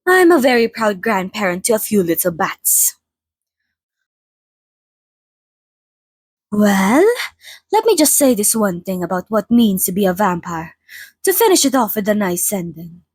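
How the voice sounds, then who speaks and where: A woman playing a polite, chatty old vampire being interviewed in an ASMR roleplay, speaking in a slightly formal, gently wry way. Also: mid-range voice, very animated, normal speed, husky, no room sound, clean studio sound. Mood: gentle, sincere. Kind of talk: ASMR roleplay, in-character monologue to listener.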